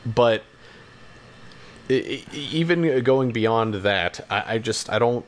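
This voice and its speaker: A man's voice: mid-range voice, expressive, slow. A man chatting casually as a podcast co-host about games and films, hedging and backtracking as he goes.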